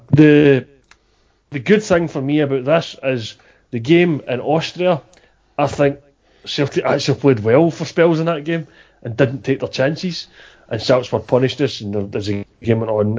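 A man's voice: medium pitch, a little mellow, slightly rough. A man chatting casually about football on a podcast, with hesitant restarts. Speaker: podcast co-host. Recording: dull lo-fi sound.

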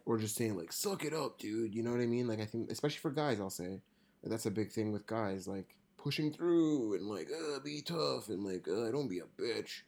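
Extremely deep-voiced, blunt podcast co-host. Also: female voice, animated, normal speed, vocal fry, a little mellow. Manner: blunt and teasing, swears casually, repeats words when starting sentences. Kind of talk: casual podcast chat.

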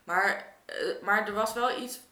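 A woman's voice: mid-range voice, measured pace, pushed and bright. Hesitant woman talking in an echoey room. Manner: hesitant, searching for words. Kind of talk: casual reflective conversation. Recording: roomy, echoing room sound.

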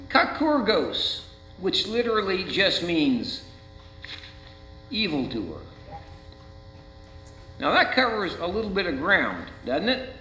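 Male American pastor, sermon delivery, deliberate dramatic emphasis; echoey, slightly muffled recording with faint hum. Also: sits high, bright, forceful tone. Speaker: Lutheran pastor preaching.

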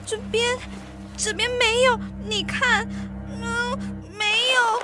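An actress playing an anxious, flustered and plaintive young wife in a literary radio drama. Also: female voice, very high voice, flat delivery, slow pace, music in the background. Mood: panicked, tearful. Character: distraught young wife who lost a borrowed necklace.

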